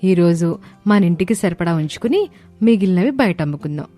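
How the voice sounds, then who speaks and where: A woman's voice: fairly low pitch, animated, moderate pace, dark tone, soft background music, close to an everyday voice. A woman voicing an earnest, eager young daughter-in-law in a dramatized story. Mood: delighted.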